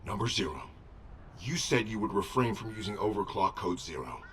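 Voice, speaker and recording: A man's voice: fairly low pitch, moderate pace, fairly bright tone, recorded from a distance, slight background noise, close to an everyday voice. A man voicing a dutiful, cautious covert operative in a video game, speaking formally and deferentially as a loyal subordinate. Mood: concerned.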